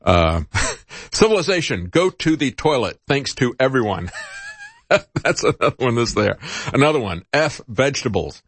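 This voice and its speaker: A man's voice: mid-range voice, very animated, moderate pace, a bit bright, husky, no room sound. A middle-aged man hosting a talk show, giving informal, rambling, conversational news commentary. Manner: rambling, conversational, chuckles at his own asides.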